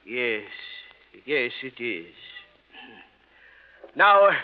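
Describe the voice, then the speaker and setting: An actor playing a small, brusque, eccentric millionaire recluse in a 1950s radio drama, imperious and given to barking orders. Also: male voice, mid-range voice, very animated, very slow. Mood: brisk, imperious. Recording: dull vintage radio recording.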